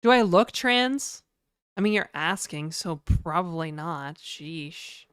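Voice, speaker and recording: A woman from Canada talks to her stream chat in an unhurried, rambling, joking way. Her voice is a bit low and smooth, though hoarse this morning, and the sound is dry and close. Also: animated, a bit bright, no room sound. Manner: rambling, joking, slips into mock French and a put-on high e-girl voice. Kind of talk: casual live-stream chat with viewers.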